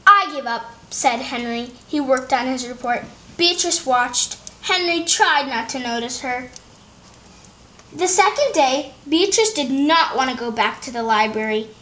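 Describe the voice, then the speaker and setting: Children's storybook host, female, notably high voice, read-aloud narration. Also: animated, measured pace, a bit bright.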